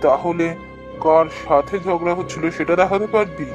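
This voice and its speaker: A man's voice: a little high, normal speed, over music, lightly acted. A man playing a stern, protective uncle in a dramatic cartoon, speaking in a commanding, urgent manner. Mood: doubting, urgent. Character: stern, protective adult uncle investigating spooky happenings. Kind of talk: dramatic scripted cartoon dialogue.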